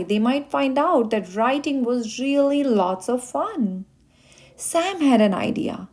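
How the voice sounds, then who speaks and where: High-pitched, animated female storyteller. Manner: expressive, emphatic, stretching words for effect. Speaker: storyteller reading a children's picture book aloud. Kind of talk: scripted read-aloud narration for children.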